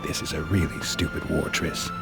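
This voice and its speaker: Jaded monster hunter, video game, bass register, heavy fry, dry, cynical, laconic. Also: male voice, steady tone, moderate pace. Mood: weary, cynical. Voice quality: gravelly. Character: weary, cynical adult male monster hunter. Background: music.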